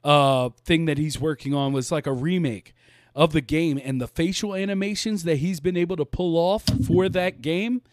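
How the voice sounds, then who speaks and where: A man's voice: fairly high pitch, normal speed, no room sound, clean studio sound. A man hosting a casual live-stream chat, laid-back, laughing often and repeating his words. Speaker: podcast host.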